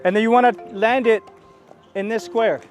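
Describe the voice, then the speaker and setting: A man who grew up in the Philippines coaches a newcomer on an outdoor pickleball court, cheering him on and restarting his sentences as he explains. His voice sits a little high. Music plays clearly underneath. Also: steady tone. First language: Bisaya and Tagalog. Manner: encouraging, halting restarts. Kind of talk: casual instruction on a court.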